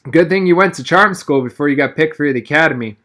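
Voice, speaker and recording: A man's voice: a little high, fast, a bit bright, close to an everyday voice. A man voicing an amused, unperturbed father in an audiobook, teasing and proud of his son.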